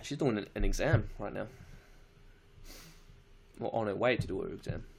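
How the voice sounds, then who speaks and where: A man's voice: lowish voice, expressive, normal speed, creaky, slightly rough. A young Australian man with an Australian accent, chatting casually on a live stream, answering viewer questions in a laid-back, slangy way with casual swearing.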